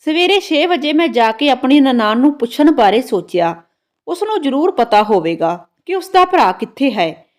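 A woman's voice: high-pitched, sing-song, moderate pace, a little mellow. A woman narrating a scripted first-person story in a dramatic storytelling manner.

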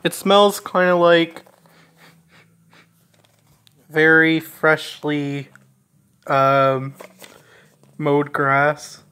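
A woman narrating a casual unboxing in a halting, deliberate way. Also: deep voice, level tone, fairly bright tone, smooth. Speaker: unboxing video maker.

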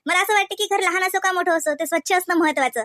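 A woman voicing a homely housewife hostess in a comedy cartoon, polite on the surface and privately sharp-tongued. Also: very high voice, fast talker, dry recording. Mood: modest, cheerful. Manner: polite and chatty, with catty muttered asides. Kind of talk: exaggerated cartoon comedy dialogue.

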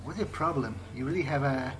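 A man giving in-character playthrough commentary on a game, talking conversationally in halting, hesitant phrases. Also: medium pitch, background noise. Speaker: gamer role-playing his character. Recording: game music behind the voice. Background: game music.

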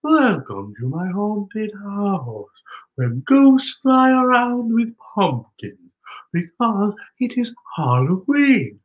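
A man's voice: high voice, sing-song, slow pace, narrow, tinny audio. A middle-aged man, a drag performer hosting a children's show, talking in a playful, theatrical, exclamatory way through costume fangs that slightly impede the words. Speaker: children's story-time host, drag performer.

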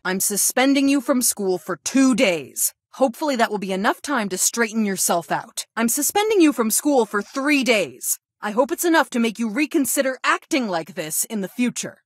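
A woman's voice: on the high side, no echo, studio quality. A woman playing a stern, reproachful female school guidance counselor in a video game, speaking in a formal, scolding manner. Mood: angry. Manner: formal, scolding, deliberate phrasing. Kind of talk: scripted game character dialogue.

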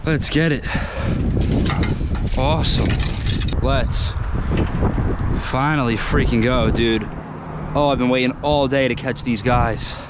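A young man filming his own fishing trip on a bridge outdoors, talking casually and getting excited, calling everyone "dude". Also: medium pitch, slow, pauses often, very noisy. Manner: excitable, says "dude" constantly. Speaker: fishing vlogger. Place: outdoors on a bridge over water. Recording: loud music behind the voice.

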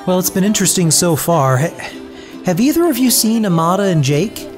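A man's voice: high-pitched, big pitch swings, moderate pace, soft background music. An actor playing a sardonic young man in an audio drama, dry and grumbling. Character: sardonic young wanderer named Simon, male.

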